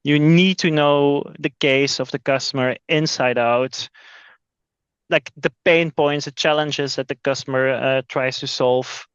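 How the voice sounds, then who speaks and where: Man, high voice, restarting often. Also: measured pace, smooth, dry recording, dull audio. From Belgium. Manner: repeats words as he restarts, lively. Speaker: sales executive and podcast guest. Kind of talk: casual interview talk.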